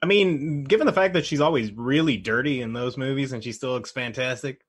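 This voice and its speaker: A man with a medium-pitched voice talks as a podcast co-host. His delivery is conversational and unscripted, blunt and peppered with profanity. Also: brisk, husky. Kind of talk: casual chat about film and game adaptations.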